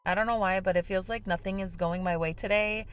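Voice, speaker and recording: A young woman hosting a casual vlog, chatting softly with lots of fillers while sipping a drink, her voice slightly hoarse. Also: mid-range voice, steady tone, brisk, over loud music. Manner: talking softly on purpose, filler-heavy and rambling. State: slightly hoarse from shouting the day before. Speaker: vlog host. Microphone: mic without windscreen.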